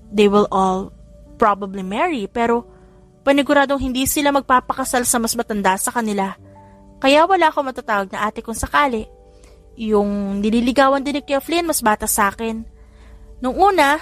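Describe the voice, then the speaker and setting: A woman narrator acts out a sweet, chatty younger sister in an audiobook romance. Her voice sits high as she teases her brothers and slips between Tagalog and English, with music underneath. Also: close to an everyday voice. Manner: mixes Tagalog with English phrases. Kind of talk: audiobook character dialogue.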